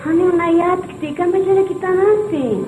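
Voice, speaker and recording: An actress as a very high-voiced emotional churchwoman. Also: brisk, mellow, faint music behind, rough recording. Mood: troubled.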